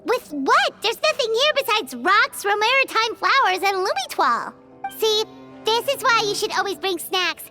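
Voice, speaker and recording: A woman voicing a small, chirpy, curious fairy girl companion in a video game, with a childlike, expressive delivery. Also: moderate pace, soft background music, heavily acted. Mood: chatty, doubtful. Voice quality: squeaky, childlike. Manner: expressive, exclamatory.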